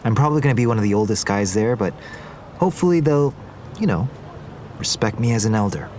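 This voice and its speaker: An actor playing an earnest, smitten, gently wry young Korean businessman in a naturalistic audio drama. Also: lowish voice, lively intonation, fast talker, slight background noise, lightly acted. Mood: resigned. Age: young adult. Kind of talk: naturalistic fiction podcast acting.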